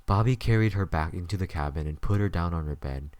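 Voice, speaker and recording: Deep-voiced male story narrator. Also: fast talker, a little mellow, dry recording.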